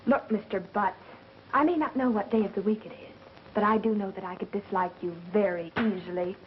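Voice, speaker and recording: A woman's voice: mid-range voice, fairly quick, lightly acted. An actress playing a feisty, sarcastic, skeptical woman who trades snappy wisecracks in a 1930s mystery film. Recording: muffled, hissy 1930s film soundtrack.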